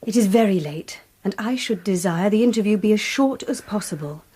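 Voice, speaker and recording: A young lady in a Victorian radio play. Her voice is medium-pitched, soft and mellow with some huskiness. She is formal, reserved and quietly firm. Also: lively intonation, a little fast, slightly rough. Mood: polite, firm. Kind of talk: radio drama acting.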